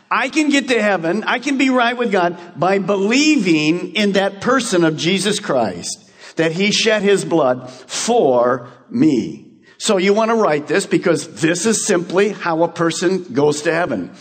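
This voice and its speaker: A male pastor with a high, husky voice that swoops up and down as he preaches. He fires off questions and answers them himself. His voice rings with the long reverb of a church hall. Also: unhurried. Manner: animated preaching with rhetorical questions. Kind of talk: radio sermon.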